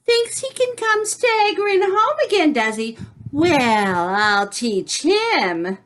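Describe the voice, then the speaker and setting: An actress playing a furious, suspicious nagging wife in a comic old-time radio play. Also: female voice, high voice, sing-song, slow, a bit bright, heavily acted.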